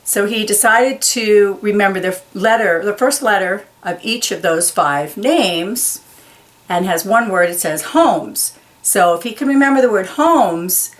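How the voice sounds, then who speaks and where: A woman reading a children's picture book aloud, carefully stressing key words and explaining them simply. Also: medium pitch. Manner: slow, deliberate, enunciates key words for young listeners.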